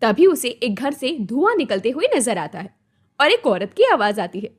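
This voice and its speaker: Woman narrator, very high voice, animated intonation, scripted moral storytelling. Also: normal speed, breathy edge.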